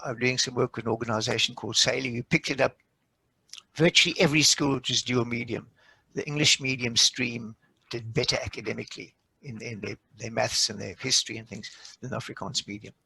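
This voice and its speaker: A man's voice: medium pitch, gravelly, pushed and bright, slightly rough, no room sound, poor audio. A man with a South African accent speaking on a panel, explaining and sharing anecdotes. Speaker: education expert panelist. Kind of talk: panel discussion.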